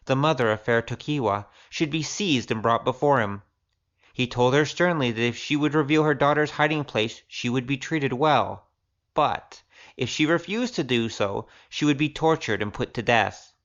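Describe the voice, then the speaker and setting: A man's voice: mid-range voice, a bit bright, highs cut off. A man reading a storybook aloud as scripted audiobook narration. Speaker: audiobook reader.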